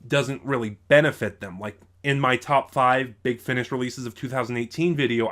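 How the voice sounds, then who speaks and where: A man's voice: mid-range voice, a bit bright. A man reviewing audio dramas in unscripted commentary, thinking aloud and often rephrasing himself mid-sentence.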